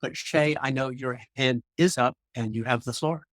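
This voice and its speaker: Brisk male moderator. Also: medium pitch, fairly bright tone, dry recording. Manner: brisk, directive. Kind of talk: moderating a discussion.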